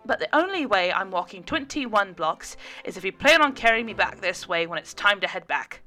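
Woman acting a bright, candid pregnant woman. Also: medium pitch, moderate pace, faint music behind. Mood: playful. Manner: blunt, excitable. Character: pregnant woman, candid, bold and teasing, meeting former best friend.

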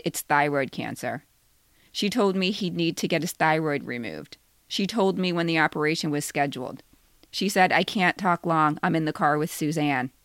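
A woman in her fifties, a podcast essayist, reading her own personal story with deadpan humor. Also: low-pitched, dry recording. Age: 50s.

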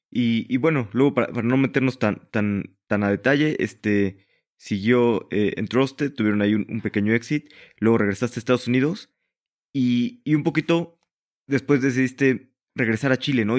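Un hombre que conduce una entrevista de manera conversacional, repitiendo palabras mientras arma sus preguntas. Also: male voice, medium pitch, normal speed. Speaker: interviewer, podcast host. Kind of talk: conversational interviewing.

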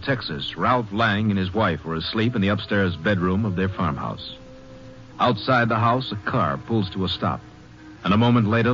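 A man's voice: lowish voice, fairly bright tone. A man with an American accent giving scripted narration for a 1950s radio crime drama. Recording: dull old radio recording.

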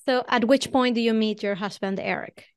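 A woman's voice: fairly high pitch, a little fast, clean tone. A woman from Venezuela with a Venezuelan Spanish accent, hosting a podcast and asking long, winding interview questions. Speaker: podcast host. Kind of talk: conversational interview.

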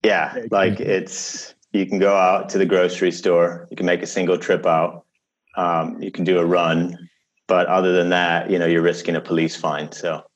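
A man from Chicago, a guest coach, chatting in a relaxed way with many restarts and fillers. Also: low voice, normal speed, highs cut off. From United States, raised in Chicago. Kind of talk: casual interview chat.

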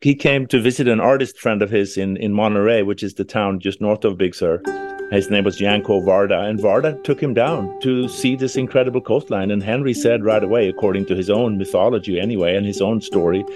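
A man with a Swedish accent, speaking in a lowish voice as he thinks aloud in an interview. He sometimes stops and restarts a phrase while explaining. Soft plucked guitar music plays clearly beneath him. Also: steady tone, fairly quick. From Sweden. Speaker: library director interviewed. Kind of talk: conversational interview storytelling.

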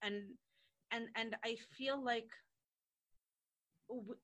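A woman's voice: fairly high pitch, steady tone, extremely slow, fairly bright tone. A woman guest on a podcast, talking conversationally and often repeating words while she searches for her phrasing.

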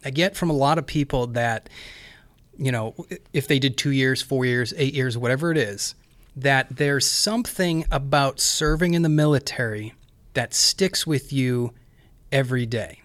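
A man, hosting a casual talk, reflective and questioning, his voice hoarse from scarred vocal cords. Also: medium pitch, normal speed, a bit bright, dry recording. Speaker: podcast host and physician assistant. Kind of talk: casual podcast conversation.

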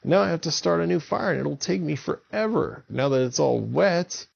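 A man voicing an upset giant who complains in a high, plaintive way. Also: expressive, moderate pace, gravelly, slightly rough, full character voice. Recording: dull lo-fi recording.

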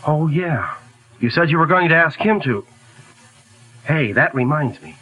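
An actor playing a blunt, gossipy band leader in a 1940s radio drama. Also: male voice, on the high side, lively intonation, unhurried, breathy edge, muffled recording. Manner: blunt, tattling.

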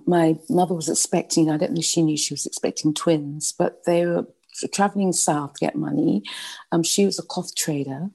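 A woman in her sixties from Nigeria with a well-spoken London English accent, telling her life story in an interview with halting restarts. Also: on the low side, warm tone. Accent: English, London middle class. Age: 60s. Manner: rambling, halting storyteller with many restarts and fillers. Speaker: guest. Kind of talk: casual interview, personal storytelling.

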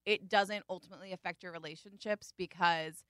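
A young woman with a low voice and a bright, forceful edge. She speaks in a measured, matter-of-fact way in casual talk. The sound is close and dry. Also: steady tone, no room sound. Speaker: podcast guest. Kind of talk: casual chat.

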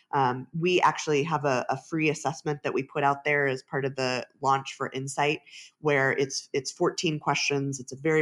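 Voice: medium pitch, fairly bright tone. Thoughtful author explaining research. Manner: thoughtful, explanatory, with frequent restarts. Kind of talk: conversational interview answers.

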